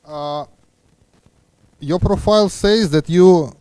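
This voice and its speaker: A middle-aged man hosting an interview, halting and often stumbling over his words. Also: sits high, mellow, smooth, no echo, low-quality recording. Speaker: interviewer and host. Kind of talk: live interview chat. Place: indoor studio, seated on a sofa. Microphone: handheld microphone.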